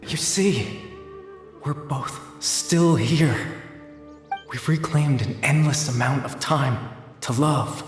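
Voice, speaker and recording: A man voicing a solemn, mysterious storyteller who recites slowly and poetically in a video game. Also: mid-range voice, faint music behind, natural voice. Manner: slow, hushed, poetic recitation. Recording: echoey reverberant voice.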